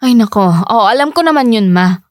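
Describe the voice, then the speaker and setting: A woman's voice: on the high side, expressive, normal speed, a little mellow, no room sound, clean studio sound, lightly acted. A woman playing a weary, dutiful young daughter in a Filipino radio drama, respectful but exasperated and pleading. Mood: concerned, pleading. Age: young adult.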